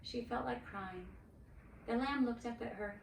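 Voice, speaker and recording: A woman reading a children's story aloud expressively, with dramatic, deliberate phrasing. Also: on the high side, gravelly. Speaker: storybook reader, cantor. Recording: roomy, distant mic with faint noise.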